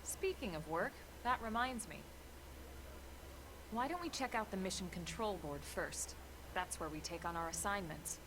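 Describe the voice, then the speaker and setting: A woman playing a serious military officer in a video game, explaining things in a measured, businesslike manner. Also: fairly low pitch, lively intonation, noisy background, lightly acted. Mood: firm, businesslike. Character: composed, serious adult female military officer guiding a recruit. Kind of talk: English-language video game voice acting.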